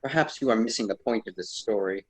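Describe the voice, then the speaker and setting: A man playing a wise, admonishing storyteller mentor in an amateur radio drama, formal and slightly husky. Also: on the low side, quick pace, natural voice. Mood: grave. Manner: formal, solemn, didactic.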